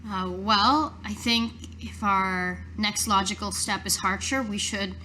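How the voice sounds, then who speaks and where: A woman's voice: medium pitch, slow, bright, forceful tone, slight background noise. A woman playing a tabletop game, improvising casually in character.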